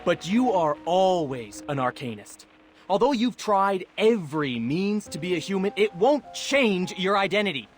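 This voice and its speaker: A man's voice: high-pitched, very animated, moderate pace, faint music behind. A man voicing a taunting, smug young student who needles people in a mocking way, in a video game drama.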